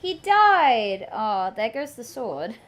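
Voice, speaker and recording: Excitable young woman streamer. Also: fairly high pitch, takes it slowly. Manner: excitable, reacting loudly. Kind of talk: casual game commentary. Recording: noisy game stream audio.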